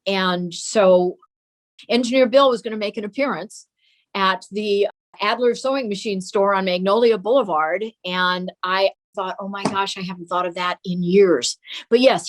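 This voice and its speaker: A woman's voice: mid-range voice, normal speed. A mature woman reminiscing about family memories as a guest in an interview. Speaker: guest recalling her father.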